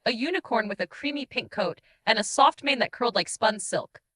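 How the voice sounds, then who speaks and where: A woman's voice: fairly high pitch, animated, pushed and bright. A woman voicing a guilt-ridden, tender shapeshifting changeling who confesses in mournful, dramatic first-person audiobook narration. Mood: wistful, tender. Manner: emotive, mournful storytelling with dramatic pauses.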